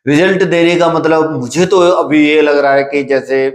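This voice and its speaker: A man giving informal, instructive advice to exam candidates like a coach, repeating himself and often pausing on filler phrases. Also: fairly high pitch, fairly even intonation. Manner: repetitive, halting, with filler phrases and check-questions; instructive, paternal address to students. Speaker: coach at a physical training academy for police and army recruitment. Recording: echoey room.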